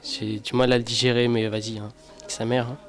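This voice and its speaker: A man's voice: mid-range voice, flat delivery, brisk. A man reacting to a poker tournament as a live commentator, emphatic and repeating himself. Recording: music playing over the commentary. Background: music.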